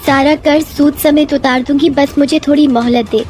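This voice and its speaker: A woman's voice: high voice, steady tone, moderate pace, darkish tone, soft background music, voice pushed up, natural voice. A woman voicing a determined, defiant young village daughter in a cartoon moral story, firm and earnest.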